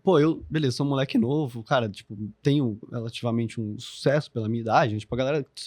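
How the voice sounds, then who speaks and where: A man in his twenties with a Brazilian Portuguese accent talks quickly on a podcast. He is slangy and swears freely, piling on fillers. The sound is dry and close. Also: medium pitch, a little mellow, no echo. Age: 20s. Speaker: podcast guest, business founder. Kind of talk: casual podcast chat.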